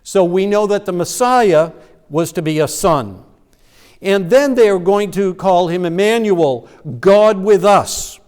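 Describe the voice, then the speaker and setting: A man with a notably high voice gives a sermon in a church. He explains scripture in a loose, conversational way, stumbling and restarting now and then. His words ring out through a long hall reverb. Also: slow, darkish tone, clean tone. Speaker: pastor. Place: church sanctuary.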